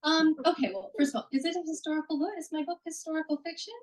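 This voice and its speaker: A woman's voice: high voice, steady tone, racing. A woman author answering questions at a live bookstore reading, rambling with restarts, speaking into a handheld mic. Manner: rambling, warm, with restarts and fillers. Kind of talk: casual live Q&A at a book reading. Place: bookstore event room. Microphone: handheld microphone.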